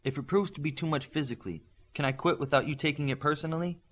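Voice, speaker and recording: A man's voice: fairly high pitch, quick pace, pitched above the performer's own voice, lightly acted. A man reading an audiobook as a cautious, reasonable young female superhero student. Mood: hesitant, reasoning. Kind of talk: audiobook character dialogue.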